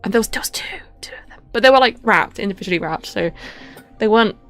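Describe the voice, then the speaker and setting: A young woman streaming a game, chatting casually and rambling with self-mocking asides and little hummed tunes, her voice deeper than many women's. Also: animated, fast talker, fairly bright tone, faint music behind. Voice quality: fairly deep for a woman. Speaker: livestreamer.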